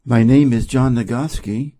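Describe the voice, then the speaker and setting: A man from Wisconsin gives a slow, measured channel introduction. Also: mid-range voice, mellow. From Wisconsin, USA. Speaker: channel host.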